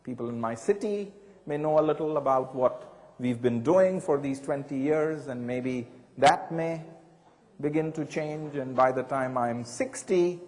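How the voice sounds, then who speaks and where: A man giving a reflective talk, measured and thoughtful, often posing rhetorical questions to his listeners. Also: medium pitch, a little mellow, long reverb tail. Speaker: speaker.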